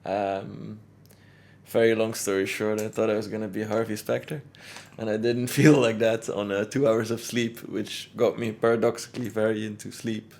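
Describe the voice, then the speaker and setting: A young man from Belgium sits as a podcast guest. His voice sits low and turns gravelly, and he speaks in a relaxed, reflective way, laughing now and then between his points. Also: normal speed. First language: Dutch. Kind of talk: conversational interview.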